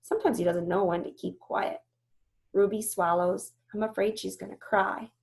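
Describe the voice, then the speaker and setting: Creaky-voiced woman reading aloud as teacher. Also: medium pitch, steady tone, moderate pace, a little mellow. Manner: instructive, checks understanding. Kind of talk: storybook read-aloud with explanations.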